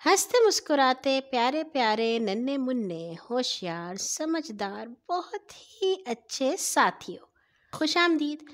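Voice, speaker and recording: A woman storyteller narrating scripted stories for children in a deliberate, phrase-by-phrase way. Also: high-pitched, sing-song.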